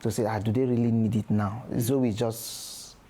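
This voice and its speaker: A man, a guest father, talking slowly with many ums and restarts. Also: fairly low pitch, little pitch movement, dark tone, a little hiss or hum. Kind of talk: candid podcast conversation.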